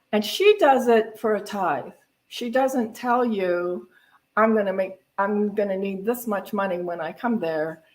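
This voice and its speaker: A woman's voice: mid-range voice, rough recording. A woman minister speaking informally at a spiritual center service, in a conversational, rambling way that often corrects itself. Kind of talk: informal church talk on giving.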